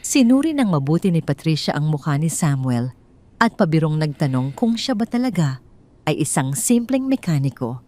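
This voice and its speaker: A woman's voice: low-pitched, sing-song, moderate pace, dark tone. A woman with a Filipino accent reading a scripted story as a storyteller. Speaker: audio drama storyteller.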